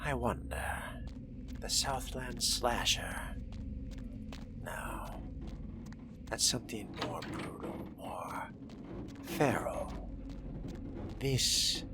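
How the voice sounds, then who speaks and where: A man voicing a wry, fastidious fledgling vampire in a video game roleplay, murmuring formally to himself in a breathy, dry voice that often sinks to a near whisper. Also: medium pitch, noisy background. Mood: brooding, musing. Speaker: role-playing gamer voicing his character. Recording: game music behind the voice.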